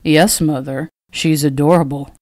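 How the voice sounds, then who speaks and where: Woman playing a young storybook lord, lowered voice, gruff, wry, teasing, grumbling. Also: measured pace, a little mellow, dry recording, studio quality.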